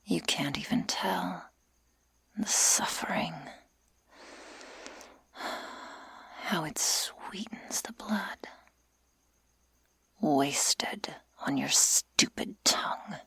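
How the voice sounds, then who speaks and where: A woman voicing a sadistic, mockingly tender female werewolf in a breathy, husky, deliberate whisper for an ASMR roleplay, close to the microphone. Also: fairly low pitch, a bit bright, full character voice. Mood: cold, menacing. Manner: slow, taunting, deliberate delivery with sighs.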